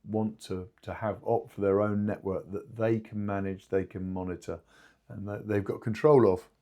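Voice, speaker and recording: A man explaining things haltingly in an informal podcast discussion, as a company spokesman. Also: on the low side, fairly even intonation, warm tone. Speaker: two-way radio company spokesman.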